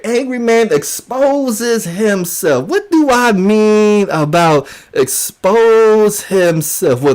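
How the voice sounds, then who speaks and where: Slow-paced man hosting mocking commentary. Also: high voice, darkish tone. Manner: mocking, emphatic, repeats phrases. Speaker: YouTube show host. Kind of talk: informal commentary monologue.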